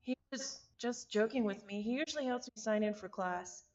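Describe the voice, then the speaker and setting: Woman reading a friendly college guy, high-pitched. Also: normal speed, darkish tone, muffled recording, close to an everyday voice. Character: friendly young male college student introducing himself.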